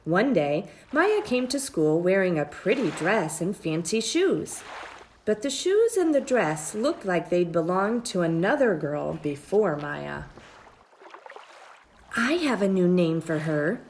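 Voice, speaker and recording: A woman reads a children's story aloud in a measured, expressive storytelling style, drawing out her phrases. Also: on the low side, a little hiss or hum. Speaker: storybook reader.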